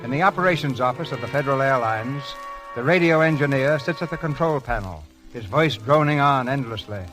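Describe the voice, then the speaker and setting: A man's voice: medium pitch, lively intonation, a little fast, fairly bright tone, faint music behind. A man delivering scripted announcements and narration in a 1930s radio show style, on an old broadcast recording.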